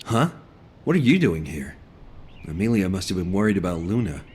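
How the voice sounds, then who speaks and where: A distracted engineer. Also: male voice, sits low, big pitch swings, normal speed, a little mellow, slightly rough, full character voice. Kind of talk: video game cutscene voice acting.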